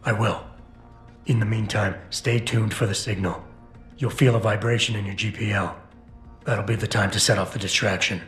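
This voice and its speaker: A low-voiced, stoic operative played by a male actor speaks in clipped, controlled lines, determined and unshowy. It is video game cutscene dialogue with a roomy echo and music behind. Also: fairly even intonation, moderate pace, fairly bright tone, lightly acted. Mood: controlled, firm. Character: stoic, determined male cyborg security operative on a rescue mission. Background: background music.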